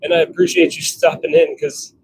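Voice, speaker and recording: A man chatting casually on a live stream, thinking out loud as he works in his workshop. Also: fairly high pitch, monotone, a little fast, a little hiss or hum, low-quality recording. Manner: chatty, thinking out loud while working at the forge. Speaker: livestream host, hobby blacksmith. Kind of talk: casual live-stream chat while working. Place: home forge workshop.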